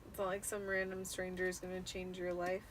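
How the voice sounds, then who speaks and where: A woman's voice: on the low side, completely flat delivery, brisk. A tipsy woman in her thirties chatting casually as she hosts her own book reading. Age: 30s. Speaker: host reading a book aloud. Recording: clearly noisy room ambience.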